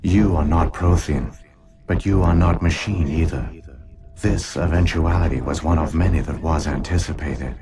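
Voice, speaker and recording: An actor playing an ancient alien artificial intelligence, grave, formal and measured in a video game. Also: male voice, a bass voice, crowd noise. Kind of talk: solemn scripted game exposition.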